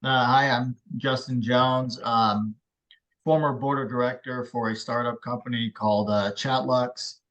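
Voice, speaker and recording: A man's voice: medium pitch, fairly even intonation, unhurried, fairly bright tone, lo-fi sound. Man rambling through a self-introduction. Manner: rambling with many fillers. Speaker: student. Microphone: video call.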